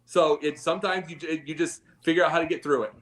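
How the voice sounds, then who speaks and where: A man's voice: a little high, fairly even intonation, brisk. A man on a sports talk panel giving rambling, opinionated takes and repeating his points for emphasis.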